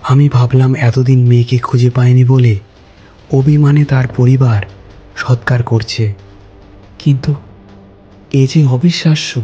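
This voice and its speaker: A man telling a first-person ghost story for a cartoon, calm and reflective. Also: medium pitch, a little slow, long pauses, lo-fi sound, faint music behind.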